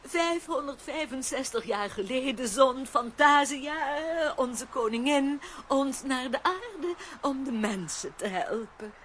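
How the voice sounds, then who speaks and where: A woman's voice: high-pitched, lively intonation, slow pace, hardly any pauses, a bit bright, a little hiss or hum, full character voice. A woman in a children's radio play voicing a sentimental, plaintive elderly fairy. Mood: wistful.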